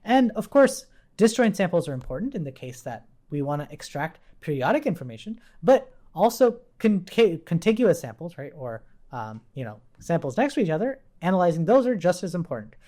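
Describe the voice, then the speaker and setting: A woman's voice: fairly low pitch, sing-song, brisk, hardly any pauses, warm tone. A woman giving an informal live coding tutorial, explaining step by step as an instructor.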